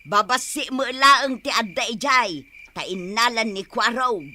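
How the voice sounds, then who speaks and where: A nagging, exasperated village woman in an Ilocano audio drama. Her voice is high and fairly bright, full of disapproval, with an Ilocano accent. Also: a little slow. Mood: suspicious.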